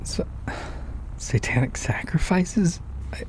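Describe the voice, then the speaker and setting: A man's voice: a little high, expressive, takes it slowly, slight background noise, voice pushed up, poor audio. A man voicing a nervous, obsessive amateur inventor in a horror story, hesitant and stammering, out of his depth. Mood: uneasy curiosity. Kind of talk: horror story character dialogue.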